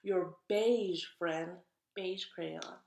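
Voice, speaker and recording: A woman's voice: on the low side, extremely slow, creaky, a little mellow, echoey room, exaggerated voice. A woman voicing a proud but slighted crayon in a storybook, sounding aggrieved.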